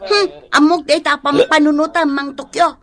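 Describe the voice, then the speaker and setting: A woman's voice: high-pitched, steady tone, normal speed, crowd noise. An actress playing a nagging, motherly village storekeeper in an Ilocano radio drama, chiding and colloquial. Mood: scolding.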